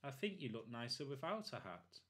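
A man voicing a little girl in a storybook, gently sticking up for her pet. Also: mid-range voice, sing-song, a little fast. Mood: gently defensive.

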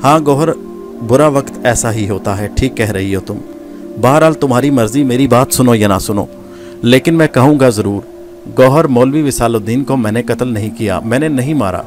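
A man voicing a ragged outcast who calmly and resignedly pleads his innocence, with music behind him. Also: medium pitch, lightly acted. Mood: resigned pleading. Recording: background music.

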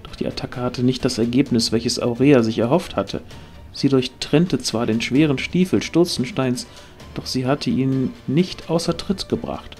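A man narrates a scripted fantasy adventure as an audio drama. His voice sits at an average pitch, and soft music plays behind him. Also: a little slow.

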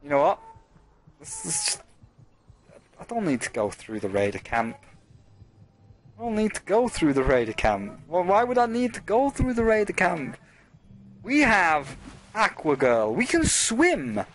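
A man's voice: high voice, wildly swooping pitch, unhurried, long pauses, a little hiss or hum. Rambling, swearing gameplay commentator, man. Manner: rambling, swearing, talking to chat. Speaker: let's play host.

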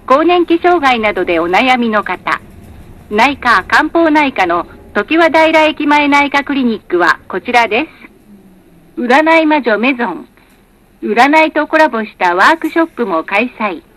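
A woman with a high voice delivers recorded announcements for bus passengers. Her delivery is scripted and formal. She articulates each phrase carefully and stays polite throughout. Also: expressive, a little slow, fairly bright tone, rough recording.

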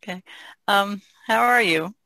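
Bright, creaky-voiced woman chatting. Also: mid-range voice, unhurried, dry recording, rough recording. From Chesapeake Bay region, United States. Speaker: painter being interviewed. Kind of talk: casual chat.

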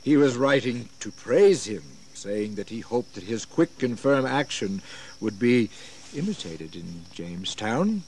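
A deferential colonial adviser with a mid-pitched voice and animated intonation. He is formal and polite, as in a history radio play, with faint noise behind. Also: a little slow. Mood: courteous concern. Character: deferential colonial gentleman counselling the governor. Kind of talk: historical audio drama acting. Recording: faintly noisy 1980s drama recording.

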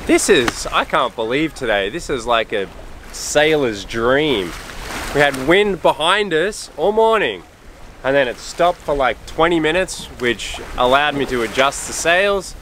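A man's voice: medium pitch, lively intonation, measured pace, fairly bright tone, a little hiss or hum. A man in his forties, a sailing dad, talking to an action camera out on deck at sea and explaining each step plainly. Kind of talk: casual vlog narration to camera. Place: outdoors on a sailboat at sea. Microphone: action camera at arm's length. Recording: music bed under voice.

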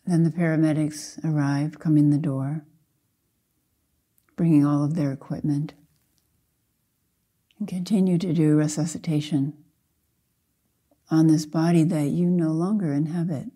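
Casting: older female meditation teacher, very dark, mellow tone, soft and husky, gentle measured phrasing with long pauses. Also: low-pitched, steady tone. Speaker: Zen teacher leading a guided meditation. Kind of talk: slow guided meditation.

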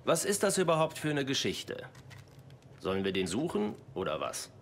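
A doubtful, soft-hearted soldier in a war game. His mid-range voice moves around a lot in pitch, with faint noise behind. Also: huge pitch swings, normal speed. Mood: doubting, concerned. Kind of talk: dubbed war game dialogue.

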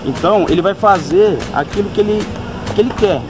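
A man's voice: high-pitched, fairly quick. A man in his thirties with a Brazilian accent, answering interview questions hesitantly with many fillers. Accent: Brazilian Portuguese. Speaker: event organizer. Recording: loud music behind.

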